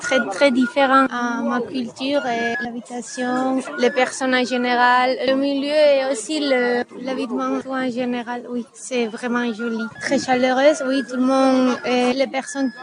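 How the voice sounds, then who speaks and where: A woman in her twenties from Spain with a Spanish accent, a tourist speaking haltingly in simple non-native phrasing in an interview. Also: high-pitched, level tone. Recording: field interview with crowd behind.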